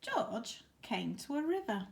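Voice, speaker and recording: Slow-paced woman reading a picture book. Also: on the high side, gravelly. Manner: expressive, chatty asides to listeners. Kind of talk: children's story narration.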